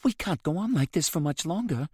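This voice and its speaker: A man's voice: high-pitched, lively intonation, normal speed, slightly rough, dry recording, clean studio sound, pitched above the performer's own voice. A man doing a trembling young woman. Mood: anxious. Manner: anxious, shaky.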